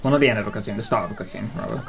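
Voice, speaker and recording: A man's voice: lowish voice, very fast, gravelly. A man playing a game live and casually narrating his own run to fellow commentators. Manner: relaxed, narrates own play.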